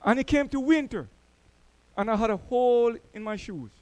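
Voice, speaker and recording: A middle-aged man from Jamaica with a Jamaican accent, preaching a sermon as a church elder and telling stories that swell louder on key words. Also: very high voice, animated, normal speed, no room sound.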